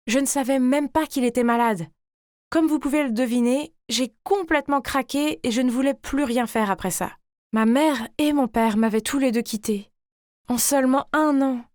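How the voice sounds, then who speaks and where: A woman reading a scripted first-person story in a theatrical, expressive way, like a teenage girl telling her own drama. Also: on the high side, no room sound, clean studio sound.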